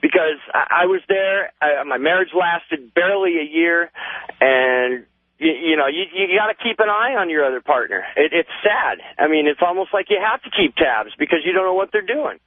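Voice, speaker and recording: High-voiced American man on a phone line. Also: expressive, no echo. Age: 30s. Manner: halting, repeats words, earnest. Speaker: radio show caller. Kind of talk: rambling call-in opinion. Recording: muffled phone line on radio, dry.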